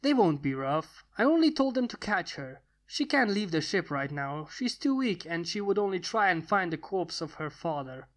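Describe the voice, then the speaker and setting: A woman's voice: on the low side, sing-song, moderate pace, few pauses. A woman voicing a stern, blunt male lord who speaks solemnly and commandingly in a fantasy story. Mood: curt.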